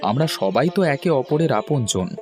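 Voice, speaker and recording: A man's voice: medium pitch, lively intonation, normal speed, faint music behind, close to an everyday voice. A man playing a kind, earnest village fish seller in a cartoon, plain-spoken and easily discouraged. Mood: kindly. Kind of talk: cartoon voice acting.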